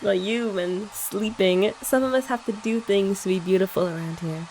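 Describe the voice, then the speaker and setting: A woman's voice: mid-range voice, normal speed, a little mellow, slight background noise, poor audio. A young woman in an ASMR girlfriend roleplay, chatting in a playful, teasing way.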